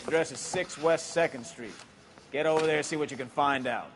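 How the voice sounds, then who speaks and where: An actor playing a brusque, commanding police captain barking orders in a 1940s crime video game. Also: male voice, fairly high pitch, normal speed, natural voice. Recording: clearly noisy background with clicks.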